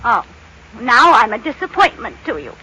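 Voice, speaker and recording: An actress playing a scatterbrained, eager housewife in an old radio sitcom, with a theatrical, emphatic, wisecracking delivery. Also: female voice, a little high, big pitch swings, moderate pace, pushed and bright, slightly rough, heavily acted. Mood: hurt, melodramatic. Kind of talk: 1940s-50s radio sitcom acting. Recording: noisy vintage radio recording.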